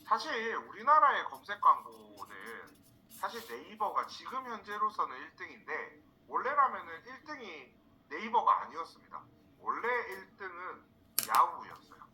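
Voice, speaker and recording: A man from South Korea teaching a live online class in an informal, halting way, repeating words for emphasis. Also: sits high, sing-song, pushed and bright, low-quality recording. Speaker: instructor, marketing trainer. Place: indoors, online screen-share session.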